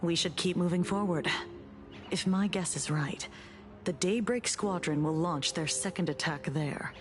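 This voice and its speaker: A woman's voice: lowish voice, measured pace, a little hiss or hum, close to an everyday voice. An actress playing a polite, level-headed female agent in a video game, formal and matter-of-fact. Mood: courteous, calm.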